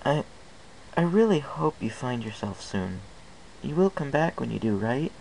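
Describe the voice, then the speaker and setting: A man's voice: mid-range voice, sing-song, moderate pace, vocal fry, a little mellow, pitched above the performer's own voice, poor audio. A man voicing a concerned, hesitant young female pony ticket seller in an amateur story reading. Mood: wistful. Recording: hissy amateur home recording.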